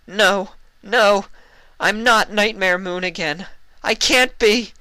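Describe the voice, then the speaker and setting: Man putting on a high, distraught pony princess. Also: takes it slowly, bright, forceful tone, clean tone, no room sound, full character voice, low-quality recording. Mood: anguished denial. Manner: pleading, distraught, emotional. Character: frightened, anguished young female pony princess.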